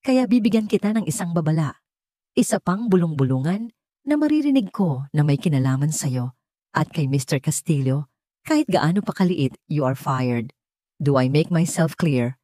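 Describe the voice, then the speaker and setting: A woman's voice: deep voice, lively intonation, normal speed, dark tone, no echo, studio quality. A woman voicing a strict male hotel general manager in an audio story, stern, formal and emotionless. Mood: stern warning.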